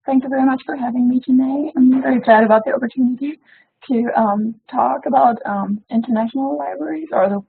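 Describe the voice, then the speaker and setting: A polite woman from Germany whose first language is German speaks in a very husky, gravelly voice, pitched a little high. She pauses often on fillers. The audio is narrow and phone-like. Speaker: library science student and panelist. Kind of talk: webinar self-introduction.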